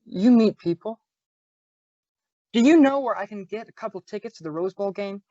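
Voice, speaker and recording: A woman's voice: medium pitch, expressive, normal speed, background noise, close to an everyday voice. A young woman playing an easygoing, teasing male homicide inspector with a casual manner, in old-time radio style. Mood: earnest, hesitant. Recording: dull lo-fi audio, very dry.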